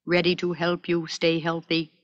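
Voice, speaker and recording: Slow, drawn-out robot played by a woman. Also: lowish voice, completely flat delivery, dry recording, muffled recording, pitched below the performer's own voice, natural voice. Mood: slow, instructive. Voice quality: hollow-sounding. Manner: slow, deliberate, drawn-out announcing. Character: homemade talking robot that teaches schoolchildren about nutrition. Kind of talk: children's educational story.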